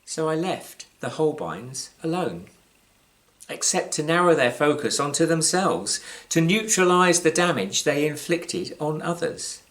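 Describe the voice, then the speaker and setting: A British man with a slightly high voice reads literary fiction as its author. His delivery is measured and wry, leaning on dramatic pauses. Emphasis shifts from phrase to phrase. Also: big pitch swings, a bit bright. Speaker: author reading his own short story. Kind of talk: scripted literary storytelling, live reading.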